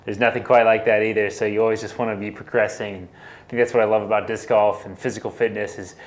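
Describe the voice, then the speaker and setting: A man's voice: fairly low pitch, flat delivery, fast talker, low-quality recording. A man chatting casually as a fitness coach. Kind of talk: casual chat.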